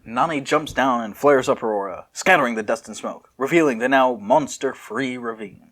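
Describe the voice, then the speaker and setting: Man, bright and forceful voice, amateur story narrator, mid pitch, informal asides. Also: normal speed.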